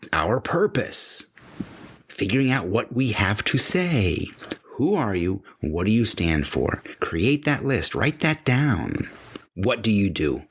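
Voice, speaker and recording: A man's voice: mid-range voice, breathy edge. A middle-aged man hosting a podcast, giving an emphatic motivational talk that repeats phrases for weight and acts out the voices of imagined people. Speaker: podcast host. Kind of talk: motivational monologue.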